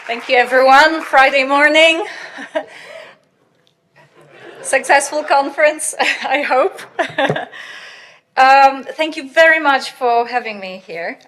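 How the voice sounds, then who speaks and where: A middle-aged woman delivering a keynote with a loud, earnest voice. She pitches a little high and breaks off often with "um" and soft laughs. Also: slow, pauses often, some chatter behind. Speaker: keynote speaker, professor. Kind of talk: conference keynote lecture.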